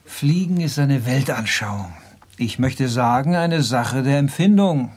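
A suave gentleman pilot in a radio drama. His voice is mid-pitched, and he speaks with courteous, gallant formality and a dry, wry charm. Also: expressive, unhurried, slightly rough. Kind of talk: German radio play acting.

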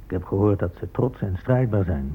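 An actor playing a self-satisfied, gold-hungry Spanish conquistador in a radio drama, speaking in a blunt, matter-of-fact way. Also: male voice, sits low, lively intonation, moderate pace, breathy edge, exaggerated voice. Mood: curious, greedy. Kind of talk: radio drama monologue and dialogue. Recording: muffled phone-like old radio play.

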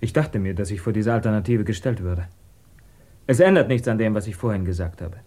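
An earnest émigré scientist in a 1950s German radio drama. His voice sits fairly low. He chooses his words formally and politely, guarded yet resolute. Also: lively intonation, fairly quick, dark tone.

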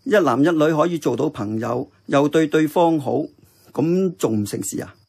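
An actor playing a kindly, contented older uncle in a Hong Kong Cantonese radio drama, chatty and reassuring. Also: male voice, a little high, expressive, normal speed, vocal fry, darkish tone, slightly rough, heavily acted. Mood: fond, reassuring.

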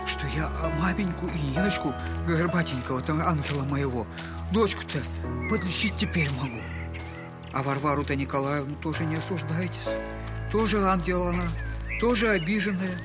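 An actor playing a humble, wounded retired captain and loving poor father in an audio drama, speaking effusively and haltingly in a servile old-fashioned way. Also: a little high, close to an everyday voice. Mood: agitated, pleading.

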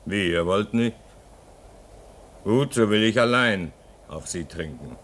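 A man's voice: lowish voice, expressive, slow, fairly bright tone, exaggerated voice. An actor in a German radio play as a homesick, restless man at a polar station, brooding and theatrical, swinging between muttering and outcry. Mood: restless, defiant.

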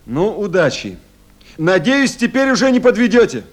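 Radio drama: brisk, kindly official on night duty, fairly high voice, very lively intonation. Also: male voice. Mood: brisk, encouraging. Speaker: actor. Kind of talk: 1970s Soviet radio play acting.

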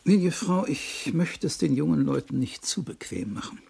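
An actor playing a genteel older small-town pharmacist in a 1960s radio play, formal and deferential, with a gravelly, husky voice. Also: male voice, fairly high pitch, animated, moderate pace, darkish tone, heavily acted. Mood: businesslike.

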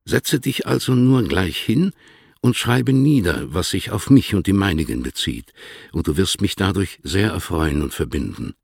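Deep, gravelly, dignified older poet. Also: male voice, animated, darkish tone, no echo, studio quality, heavily acted. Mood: courteous request. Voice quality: gravelly, husky. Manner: formal, composed, deliberate. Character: dignified older male poet, measured, fond, instructive. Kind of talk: literary radio drama, formal letter reading.

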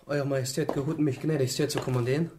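An actor playing a proud, eloquent young crown prince in a radio play, speaking in a formal, courtly, declamatory way. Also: male voice, medium pitch, steady tone, fast talker, background noise, close to an everyday voice. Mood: wary, courtly.

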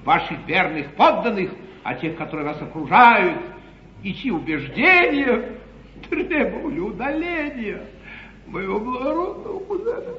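An actor playing an eloquent, ironic English lord in a classical 1950s stage comedy, declaiming grandly. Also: male voice, high voice, big pitch swings, a little slow. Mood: declamatory indignation. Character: eloquent, theatrical English lord, an opposition politician. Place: theatre stage. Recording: dull 1950s live theatre recording, echoey hall, hiss.

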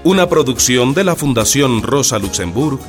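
A man's voice: mid-range voice, expressive, normal speed, over music, close to an everyday voice. A man announcing a radio drama in a scripted credit.

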